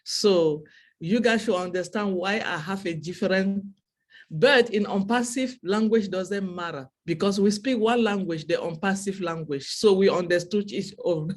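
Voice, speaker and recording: Heartfelt woman with Cameroonian French accent. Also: medium pitch. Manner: heartfelt, repeats phrases for emphasis. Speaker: webinar speaker. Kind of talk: speech to an online audience.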